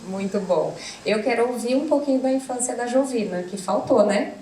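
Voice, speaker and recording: A woman's voice: a little high, moderate pace, warm tone. A woman from Brazil moderating a chat, giving short, encouraging prompts to the guests. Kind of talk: conversational interviewing. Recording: echoey room with clear noise.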